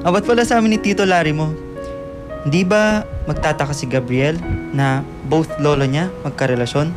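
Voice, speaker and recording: A man plays a tender, slightly worried Filipino grandfather in a radio drama. His voice is fairly high for a man, with a gentle, sentimental manner. Guitar music runs clearly underneath. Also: moderate pace, lightly acted.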